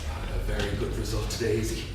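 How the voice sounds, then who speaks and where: A man's voice: lowish voice, monotone, quick pace, lightly acted. A man playing a proud, persuasive Dublin salesman and family man, in a staged reading. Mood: exasperated. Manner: smooth-talking, insistent. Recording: echoey theatre from far off, faint noise.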